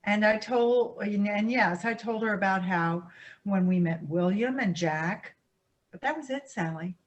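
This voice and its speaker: Older grandmother played by an actress: female, ex-actress, mid-pitched, evasive and defensive, stage drama reading. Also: unhurried, muffled recording, lightly acted.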